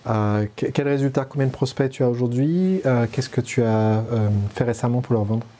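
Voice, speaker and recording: A man's voice: medium pitch, steady tone, normal speed, warm tone. A man hosting an interview, asking long stacked questions with fillers. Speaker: interviewer and podcast host.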